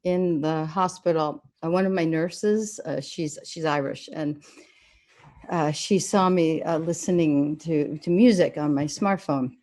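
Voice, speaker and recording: An actress playing a gentle woman back from a hospital stay, speaking in a halting, thoughtful, conversational way. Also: low-pitched. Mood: hesitant, reflective.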